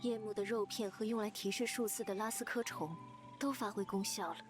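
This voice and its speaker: A woman voicing a composed, confident female character in a visual novel, speaking calmly and in measured phrases. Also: medium pitch, lightly acted. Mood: calm, assured. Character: composed, confident female being trapped in darkness. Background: game music.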